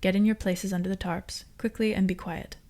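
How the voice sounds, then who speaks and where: A woman voicing a calm female mission leader giving quiet orders. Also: medium pitch, brisk, close to an everyday voice.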